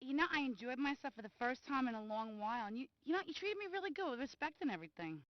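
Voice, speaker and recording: Lively high-voiced mob moll. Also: female voice, racing, dry recording, lo-fi sound. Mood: wistful. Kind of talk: video game cutscene acting. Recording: loud boat and vehicle noise.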